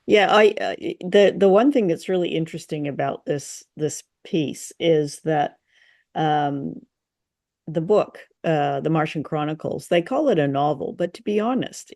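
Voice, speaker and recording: Creaky-voiced woman in dry, close podcast chat. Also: low-pitched, darkish tone, no room sound. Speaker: podcast co-host. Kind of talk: casual chat, radio drama discussion.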